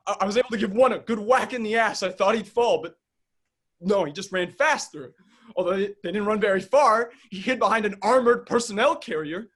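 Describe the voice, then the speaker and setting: A man's voice: sits high, brisk, a bit bright. An actor playing a rowdy young soccer-fan protester who swears and tells his story in an agitated rush. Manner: crude, breathless storytelling, swearing.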